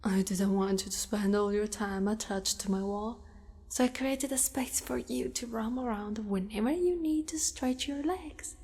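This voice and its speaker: A woman playing a possessive, doting female spider monster in an ASMR roleplay, speaking softly and breathily, often in a whisper. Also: a little high, lightly acted. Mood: tender, soothing. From Italy. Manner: soft, breathy, often whispered, slow and coaxing. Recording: long cavernous reverb.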